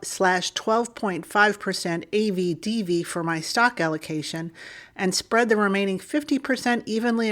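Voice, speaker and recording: A woman co-hosting a podcast, reading a listener's email aloud in a steady, clear manner. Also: medium pitch.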